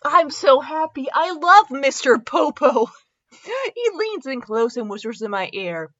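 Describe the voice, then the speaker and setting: Female comedy podcast reader, very animated pitch, measured pace, giggly, mock-dramatic, prone to asides; slightly muffled lo-fi recording. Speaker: podcast host reading fanfiction.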